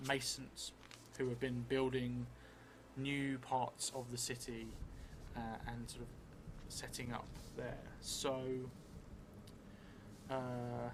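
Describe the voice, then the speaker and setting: A middle-aged man thinking aloud as he narrates a solo tabletop role-playing game, halting between phrases. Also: medium pitch, fairly bright tone, a little hiss or hum. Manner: halting, pausing between phrases while writing. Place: indoor room, seated at a table. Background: music.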